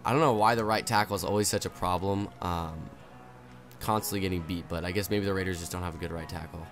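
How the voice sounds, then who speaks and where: A young man with a hoarse voice from illness, hosting a gaming video and explaining plays casually with plenty of ums and uhs. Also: sits low, quick pace, over music. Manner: relaxed, explanatory, many fillers. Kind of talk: casual gameplay tutorial commentary.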